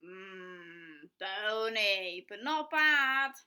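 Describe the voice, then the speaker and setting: A woman voicing a greedy, scheming male wolf in a storybook, with loud, drawn-out, gloating words. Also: mid-range voice, expressive, smooth, exaggerated voice.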